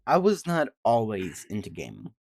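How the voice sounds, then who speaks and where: A man's voice: on the low side, wildly swooping pitch, normal speed, gravelly, darkish tone, dry recording, clean studio sound. A man from Georgia, co-hosting a podcast and asking questions in casual chat. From Georgia, United States.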